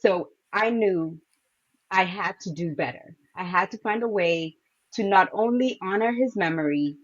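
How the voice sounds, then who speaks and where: A woman from Kenya, a podcast guest, talking about her journey in a soft, humble way with light laughs. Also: medium pitch, expressive, unhurried, breathy edge, lo-fi sound. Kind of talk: casual interview.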